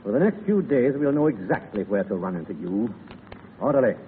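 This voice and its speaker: A man's voice: lowish voice, lively intonation, fairly quick, phone-quality sound, natural voice. A man acting a stern, menacing Foreign Legion adjutant in a formal, clipped, authoritarian way in a 1930s radio drama. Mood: icily formal. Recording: hissy, echoey 1930s radio broadcast.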